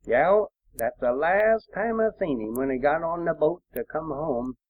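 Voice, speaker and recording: An old man with a rural American accent talks very slowly in a fairly high voice. He rambles through memories in a folksy way, pausing often, on a muffled lo-fi home tape. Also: lively intonation, dry recording. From Appalachian hill country, United States. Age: elderly, 70s or older. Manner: folksy, unhurried, with trailing pauses. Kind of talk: rambling personal reminiscence recorded as an audio letter. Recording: dull, lo-fi home tape recording.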